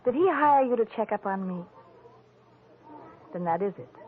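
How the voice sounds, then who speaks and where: An actress playing a detective's anxious, coaxing wife in 1940s radio drama style, earnest and pleading. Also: female voice, mid-range voice, lively intonation, normal speed, a little hiss or hum, natural voice. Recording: tinny, narrow old radio broadcast.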